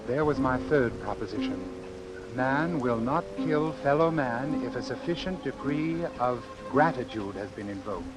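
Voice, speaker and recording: A man's voice: medium pitch, expressive, normal speed, over loud music, close to an everyday voice, poor audio. Morbid, calculating physician, male actor: medium register, precise formal diction, imperious, vintage suspense radio. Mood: coolly reflective.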